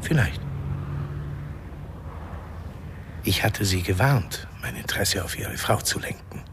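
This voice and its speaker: A man's voice: deep voice, expressive, moderate pace, noisy background. An actor playing a cool, knowing, menacing man in a radio crime drama, probing and insinuating.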